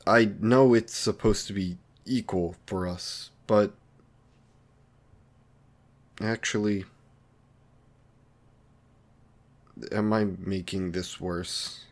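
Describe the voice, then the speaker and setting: A man playing a lonely, awkward villain in an audio romance roleplay, earnest and anxious to please, speaking haltingly and apologetically. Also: fairly low pitch. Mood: insecure, apologetic.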